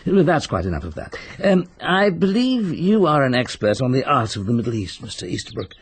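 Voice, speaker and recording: Grave, husky Englishman, very creaky. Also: mid-range voice, sing-song, fairly quick. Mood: earnest. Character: grave, worldly man who fears real evil. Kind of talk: radio drama acting.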